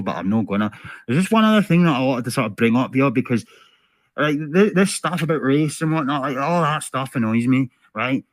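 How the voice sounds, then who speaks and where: A man's voice: mid-range voice, no room sound. Man, Scottish accent, ranting commentary, sarcastic, swearing, very dry recording. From Scotland. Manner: profane, sarcastic, full of "right?" and "like". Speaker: commentary YouTuber.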